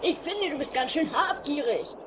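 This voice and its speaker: A woman playing a dramatic, excitable adventurer with theatrical exclamations in an amateur comedy radio play. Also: sits high, normal speed, echoey room, long reverb tail, noisy background. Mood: excited.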